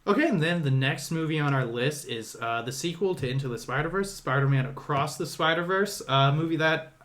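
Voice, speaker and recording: A man's voice: a little high, expressive, a little fast, fairly bright tone. A man hosting a podcast, talking casually about films in a conversational way and steering the discussion along. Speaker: podcast host.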